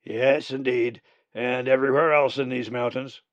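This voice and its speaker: A man voicing an old, tattered, one-eyed male raven with a raspy, theatrical, booming manner. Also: fairly even intonation, moderate pace, dry recording, natural voice. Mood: grand, theatrical. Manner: grand, loud, theatrical. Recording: dull lo-fi recording.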